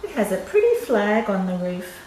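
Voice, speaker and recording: A woman's voice: mid-range voice, big pitch swings, normal speed, darkish tone, close to an everyday voice. An older woman voicing a cheerful, eager male bird husband in a children's storybook. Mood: eager, pleased. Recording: roomy echo, faint background noise.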